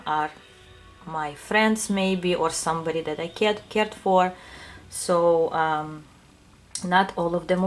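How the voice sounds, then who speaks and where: A woman with a Russian accent, a tarot reader answering her viewers' questions in a soft, unhurried casual talk full of small fillers. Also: lowish voice.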